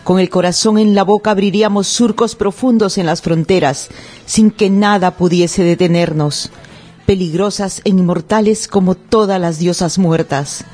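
A woman's voice: fairly low pitch, fairly even intonation, normal speed, a little mellow, soft background music. A woman speaking on a radio show, in a poetic recitation or as a panelist.

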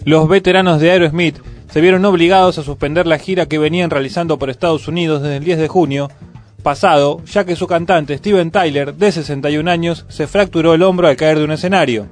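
A man's voice: on the high side, steady tone, brisk. A man with an Argentine Rioplatense accent reading scripted news on the radio, as a presenter. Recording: music playing under the voice. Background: music bed.

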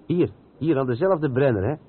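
An actor playing an experienced, patient truck driver who explains things step by step in a radio play. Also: male voice, mid-range voice, lively intonation, normal speed, lightly acted. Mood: explaining, chatty. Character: experienced, patient long-haul truck driver showing the ropes. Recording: tinny, band-limited old radio recording with noise.

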